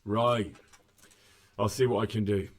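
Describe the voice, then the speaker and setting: An actor playing an earnest, plain-spoken young errand runner in a medieval video game, direct and questioning. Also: male voice, on the low side, steady tone, unhurried, natural voice. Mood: earnest, curious. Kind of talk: video game cutscene acting.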